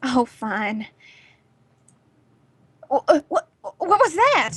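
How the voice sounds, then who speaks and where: A woman playing a nervous, evasive suburban wife and mother in an amateur radio play, with a hesitant, halting delivery. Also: high-pitched, sing-song, no echo, exaggerated voice.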